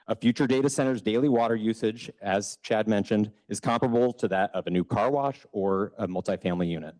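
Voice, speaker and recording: A man with a somewhat low voice reads out a prepared comment at a city council meeting. He speaks into a podium microphone in a steady, formal manner and trips over a word now and then. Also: poor audio. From Northeast Minnesota. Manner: steady, formal delivery with occasional stumbles. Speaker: economic development speaker at public comment. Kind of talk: prepared public comment read aloud.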